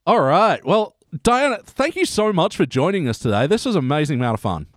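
A man's voice: on the high side, very animated, brisk, dry recording, clean studio sound. A man hosting a casual comedy podcast, chatting in a jokey, self-deprecating way. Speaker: podcast host.

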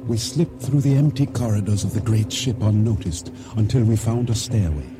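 A man acting a regretful island boatman in a radio drama, narrating and speaking in a solemn, measured way. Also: lowish voice, background noise, lightly acted. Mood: measured, tense. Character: regretful male island boatman recounting his downfall. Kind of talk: first-person narration and dialogue in radio drama.

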